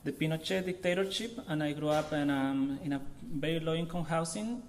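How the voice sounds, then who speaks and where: A man from Chile in his thirties with a Chilean accent, giving an informal artist talk to an audience in a halting way, searching for words. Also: on the high side, a little hiss or hum. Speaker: visiting artist.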